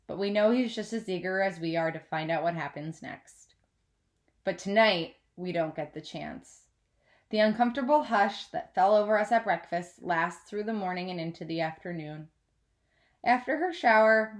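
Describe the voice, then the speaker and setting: A woman reading a children's novel aloud as a teacher would, in an expressive storytelling style. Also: medium pitch.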